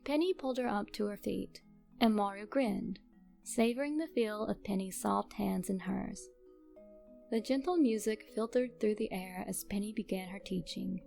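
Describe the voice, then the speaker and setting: Creaky-voiced woman narrating a romance story. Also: mid-range voice, a little mellow. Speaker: fan fiction reader. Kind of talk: expressive scripted story narration. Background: faint music.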